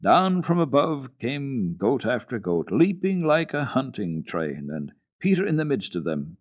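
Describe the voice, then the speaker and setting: A man reading a children's story for radio in an expressive, deliberate, theatrical way. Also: medium pitch, phone-quality sound.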